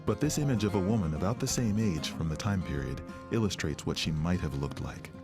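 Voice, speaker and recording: Male narrator, low-pitched, scripted history documentary read over clear guitar music. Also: expressive, fairly quick. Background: plucked guitar music.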